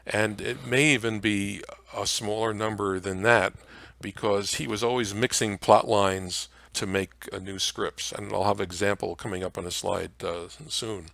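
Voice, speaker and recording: A man presenting an informal slide lecture on old-time radio history in a halting way, with frequent hesitations. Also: lowish voice, fairly even intonation, gravelly, a bit bright, dry recording.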